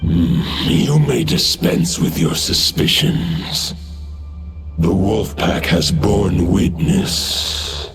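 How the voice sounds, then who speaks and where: Very slow, gravelly ancient wolf spirit. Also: male voice, low voice, steady tone, full character voice. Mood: grave, solemn. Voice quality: gravelly, breathy. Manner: formal, archaic, grave. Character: ancient solemn male wolf spirit guardian. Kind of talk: video game character acting. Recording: game music behind voice.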